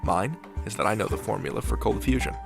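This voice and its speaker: A male podcast host reading a scripted introduction in a lowish voice. He tells the setup in a dramatic storytelling way, with music playing clearly underneath. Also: lively intonation, fast talker. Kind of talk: scripted narration.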